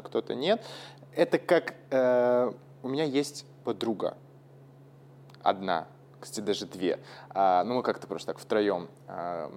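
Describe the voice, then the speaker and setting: A man's voice: mid-range voice, a little hiss or hum. Man rambling casually on a podcast. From Russia. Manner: rambling and digressive, thinking aloud. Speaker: podcast co-host.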